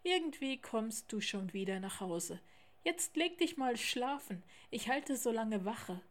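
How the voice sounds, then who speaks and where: A woman's voice: on the high side, sing-song, measured pace. A woman voicing a brave, caring young male polar bear cub, reassuring and plain-spoken, in a children's storybook.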